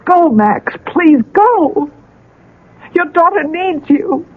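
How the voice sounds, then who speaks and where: Anxious, commanding protector for radio play: urgent, pleading, through a tinny old broadcast. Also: female voice, very high-pitched, lively intonation, takes it slowly, heavily acted. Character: frantic, protective woman Esther, urgently taking charge. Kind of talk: radio drama acting.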